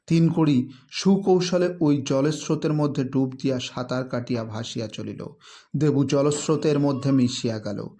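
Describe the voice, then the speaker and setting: A man reads a literary story in a theatrical, measured, emphatic narration. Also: fairly high pitch, a little mellow. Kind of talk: dramatic literary audiobook-style narration.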